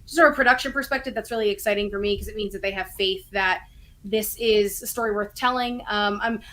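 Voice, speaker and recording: A woman's voice: medium pitch, steady tone, fast talker, a bit bright. A woman in her thirties chatting casually and keenly as a guest on a podcast panel. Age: 30s. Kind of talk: casual, enthusiastic chat.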